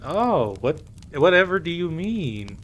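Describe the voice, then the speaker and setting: A man playing a suave, playful mafia boss in an audio roleplay drama, with a teasing, smooth manner. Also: on the high side, sing-song, slow. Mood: relaxed, fond. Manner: teasing, smooth, code-switches into Spanish.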